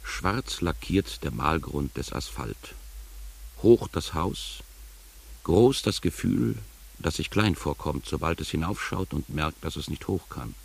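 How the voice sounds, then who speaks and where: An actor playing a brooding, poetic narrator of a radio play, speaking in deliberate, incantatory fragments. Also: male voice, extremely low pitch, animated, vocal fry, slightly rough, full character voice. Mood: solemn, poetic. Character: brooding poetic male narrator, an inner voice of the city. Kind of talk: literary 1980s German radio play narration. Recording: hissy 1980s radio play tape.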